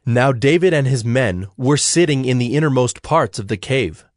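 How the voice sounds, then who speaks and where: A man reading scripted Bible story narration in a measured, solemn manner. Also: mid-range voice, lively intonation, no room sound, clean studio sound.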